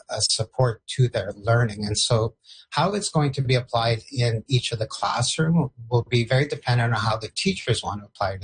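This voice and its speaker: A man whose first language is Portuguese answers interview questions in a mid-pitched, husky voice. He hesitates often and restarts his sentences. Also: low-quality recording. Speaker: education leader.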